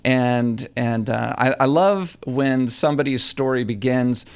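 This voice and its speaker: A man's voice: mid-range voice, unhurried, smooth. A man from Texas hosting a podcast, thoughtful and folksy, often repeating words as he starts a sentence. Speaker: podcast host. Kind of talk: casual interview chat.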